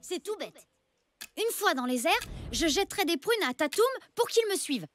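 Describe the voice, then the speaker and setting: A woman voicing a bold, eager, resourceful young boy who takes charge in a French animated series. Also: very high-pitched, moderate pace, soft background music. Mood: confident, scheming.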